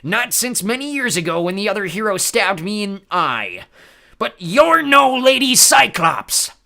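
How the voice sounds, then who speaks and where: A man voicing a hulking, brutish one-eyed male cyclops who talks slowly in broken, booming phrases. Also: huge pitch swings, sharp tone, heavily acted. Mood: gruff brooding. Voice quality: slow, booming, halting broken grammar.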